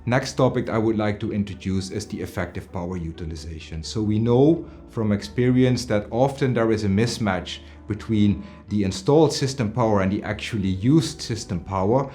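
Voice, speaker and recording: A man in his forties speaks in a fairly low voice, explaining product features to camera as a presenter. Music plays behind him in a studio setting. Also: moderate pace, hardly any pauses, mellow.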